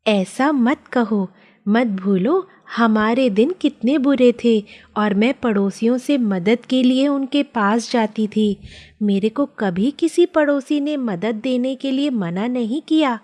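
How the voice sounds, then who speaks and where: A woman's voice: a little high, takes it slowly, darkish tone. A woman voicing a kind, worried wife with a conscience in a cartoon story, earnest and admonishing. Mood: reproachful. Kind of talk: children's cartoon story dialogue.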